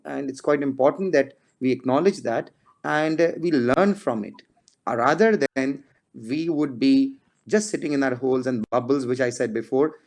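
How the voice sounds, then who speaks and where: A man's voice: mid-range voice, darkish tone. A middle-aged man talks as a panel guest in an ordinary mid-range voice. He rambles, hesitates and restarts his phrases often. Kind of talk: live community discussion.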